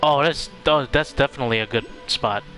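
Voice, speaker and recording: A man's voice: medium pitch, a little fast, pushed and bright, soft background music, rough recording. A man livestreaming a video game playthrough, reacting casually and reading the dialogue aloud. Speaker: game streamer. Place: voice over video game audio.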